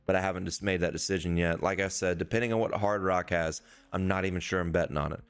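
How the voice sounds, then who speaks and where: A hoarse man, a young adult, hosting a casual sports-betting preview and swearing freely in a loose, rambling way. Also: deep voice, fairly even intonation, fast, faint music behind. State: hoarse, losing his voice. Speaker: sports betting podcast host.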